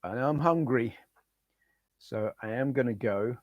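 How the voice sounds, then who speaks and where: A middle-aged man with a hoarse voice, chatting casually to viewers on a retro gaming live stream and reading out their names. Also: medium pitch, measured pace, dry recording, muffled recording. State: hoarse, losing his voice.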